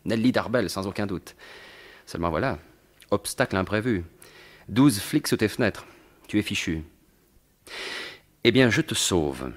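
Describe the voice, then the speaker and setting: A suave gentleman thief from an old-fashioned radio mystery. The voice is on the low side and swoops expressively. He is sardonic, teasing and completely sure of himself. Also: expressive, measured pace, pauses often. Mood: commanding, menacing. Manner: theatrical, sardonic, teasing, assured. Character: suave, mocking gentleman thief confronting a criminal baron. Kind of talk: French radio mystery drama acting in 1950s manner.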